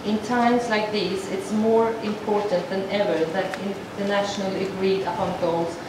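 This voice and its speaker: Woman, sign language interpreter, formal speech, halting restarts, distant mic, big reverberant hall, steady background noise. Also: medium pitch. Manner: measured, with frequent halting restarts. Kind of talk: formal address to an assembly, interpreted aloud. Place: large assembly hall. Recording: echoey hall from far off, noisy.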